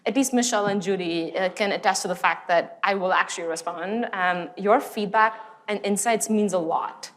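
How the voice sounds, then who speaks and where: A woman's voice: mid-range voice, moderate pace, few pauses. A woman moderating a panel discussion among clinicians, polite and thanking each speaker.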